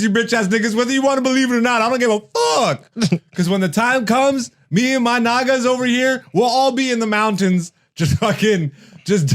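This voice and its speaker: A man hosting a comedy podcast, ranting casually and brashly with lots of swearing and laughing through his words. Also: very high voice. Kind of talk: casual comedic rant and chat.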